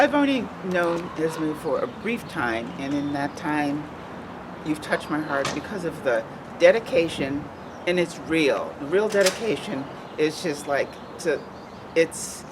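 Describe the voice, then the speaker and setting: Older speaker, medium pitch, emphatic impromptu remarks, repeated phrases, clear urban noise behind. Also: animated, a little slow.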